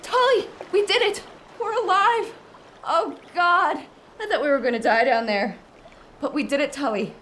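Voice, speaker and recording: A woman's voice: high-pitched, moderate pace, fairly bright tone, slight background noise. An actress playing an anxious, fiery, blunt woman aboard a submarine in an audio drama. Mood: elated. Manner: blunt, emotional, exclamatory. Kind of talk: full-cast audio drama acting.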